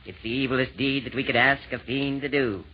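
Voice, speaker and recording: A man's voice: medium pitch, brisk, close to an everyday voice. An actor playing a scheming chairman of villains in an old radio comedy, speaking in formal declaimed rhyme. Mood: grand, scheming. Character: smooth-scheming male chairman of hell's villains. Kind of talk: 1940s radio comedy acting. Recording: muffled, noisy old-time radio recording.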